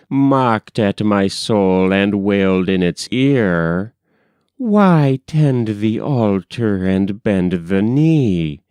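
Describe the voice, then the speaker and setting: Male poetry reader, solemn, very slow and measured, clear resonant tone, dry close sound. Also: lowish voice, darkish tone, no room sound. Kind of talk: recited poetry reading.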